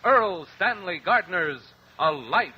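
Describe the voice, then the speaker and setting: A man announcing a radio drama in a grave, portentous, deliberate scripted style. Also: high voice, lively intonation, husky. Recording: dull old radio broadcast recording. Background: music.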